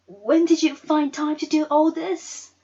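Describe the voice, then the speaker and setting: Woman as a high, excitable young girl. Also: a little slow, muffled recording. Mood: overjoyed. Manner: exclaiming, emotional, gasping. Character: excitable, emotional sixth-grade girl pleading with her mother.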